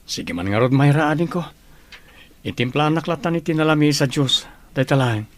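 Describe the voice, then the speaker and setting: A man's voice: fairly high pitch, animated, fairly quick, close to an everyday voice. A man with an Ilocano accent playing a patient, humble schoolteacher in an audio drama. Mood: earnest. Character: earnest, patient male schoolteacher, humble and hardworking. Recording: clearly noisy background.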